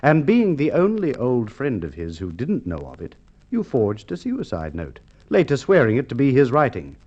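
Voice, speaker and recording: An actor playing a shrewd, methodical police inspector in an old radio crime drama, deliberate and authoritative. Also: male voice, mid-range voice, wildly swooping pitch, a little mellow. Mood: accusing, certain. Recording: dull, lo-fi vintage radio recording.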